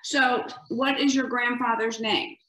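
A woman interviewer asks short, direct questions, sometimes cutting in to keep the account on track. Her voice sits a little high, and it comes across with a noticeable roomy echo. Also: steady tone, unhurried, sharp tone. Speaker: oral history interviewer.

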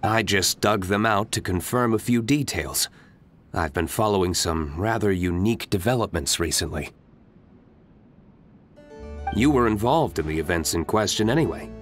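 A man voicing a cool, reserved young nobleman in a video game, speaking calmly and formally. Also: lowish voice, normal speed. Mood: calm, reassuring. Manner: calm, terse, formal. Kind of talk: video game character dialogue. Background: game music.

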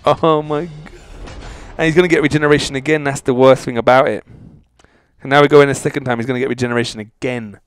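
A man hosting a gaming channel, chatting casually and in exasperation to his viewers as he comments on his play. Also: a little high. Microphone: voice-over over mobile game footage.

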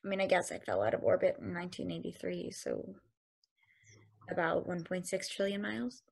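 A woman reading as a naive, stubborn space probe with a gravelly voice. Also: fairly low pitch, very animated, fairly quick, a little mellow, full character voice. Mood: hesitant. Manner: hesitant, then insistent. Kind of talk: table read over video call. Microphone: video call.

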